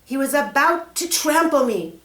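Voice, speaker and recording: A woman voicing an excitable, exasperated young boy adventurer in a storybook, with urgent, emphatic delivery. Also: high voice, unhurried, pitched above the performer's own voice. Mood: defensive. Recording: roomy, echoing room.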